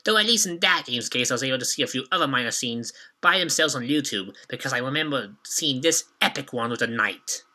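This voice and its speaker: Young male reviewer with a sharp, forceful, very bright voice, reading scripted retrospective commentary. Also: mid-range voice, expressive, brisk, hardly any pauses. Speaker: video reviewer and host.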